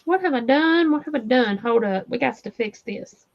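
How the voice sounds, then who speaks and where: Chatty Southern woman, high voice. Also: expressive, moderate pace, creaky. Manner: chatty, laughing, reads viewer comments aloud. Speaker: crochet livestream host. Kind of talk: casual livestream chat. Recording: slightly dull lo-fi audio.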